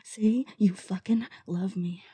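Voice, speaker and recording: A woman voicing an unstable, possessive female lover in an audio roleplay, speaking in a breathy, often whispered voice. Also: mid-range voice, warm tone, no echo. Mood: desperate pleading. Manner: slow, intimate delivery that swings to desperate pleading.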